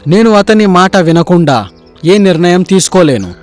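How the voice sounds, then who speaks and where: Man as an authoritative, high-voiced dismissive king. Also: animated, moderate pace, a little mellow, soft background music. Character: partial, dismissive king favouring his friend.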